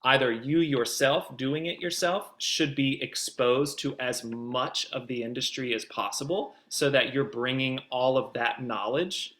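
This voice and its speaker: A man's voice: medium pitch, a bit bright. A man giving conversational expert advice as a guest producer, in an explanatory, emphatic way.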